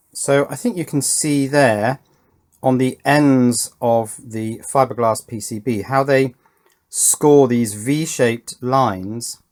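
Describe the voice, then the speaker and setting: A middle-aged man narrating a hands-on electronics tutorial in a casual, unscripted way, thinking aloud as he works. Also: mid-range voice. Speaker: electronics hobbyist presenter.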